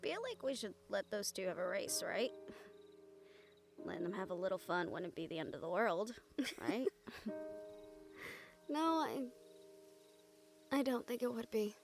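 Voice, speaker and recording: A woman acting an affectionate young fantasy fighter in an intimate audio roleplay, speaking softly with tender, playful warmth and an undertow of grief. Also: medium pitch, lively intonation, measured pace, vocal fry, pauses often, music in the background. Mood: gently playful. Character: affectionate young woman, supernatural fighter, grieving yet teasing.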